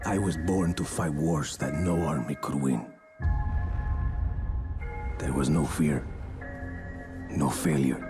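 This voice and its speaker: An extremely low, rumbling voice for a battle-hardened game hero. He is grim and determined, weighing each phrase slowly. Loud music plays over the trailer delivery. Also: steady tone. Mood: grim, resolute. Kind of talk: dramatic video game trailer acting.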